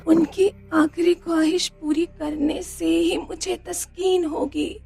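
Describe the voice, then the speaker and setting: Woman playing a slow, creaky, high-voiced grieving widow. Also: little pitch movement, mellow, full character voice. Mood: patient, resolute. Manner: slow, halting, restrained. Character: grieving village landowner's widow, patient and resolute.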